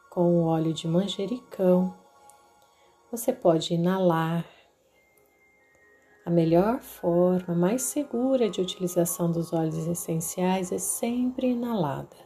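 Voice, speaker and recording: A woman with a Brazilian accent narrating a guided meditation in a soothing, deliberate way, leaving long pauses. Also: on the low side, dark tone. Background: background music.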